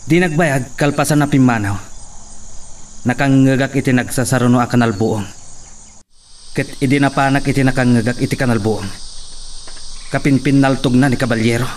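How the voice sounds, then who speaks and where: A man's voice: mid-range voice, moderate pace, pauses often, lightly acted. A man with an Ilocano accent acting as a fearful, defensive worker in a radio drama. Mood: pleading, defensive. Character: fearful, defensive male worker threatened with death. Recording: distant mic, faint noise, crickets behind.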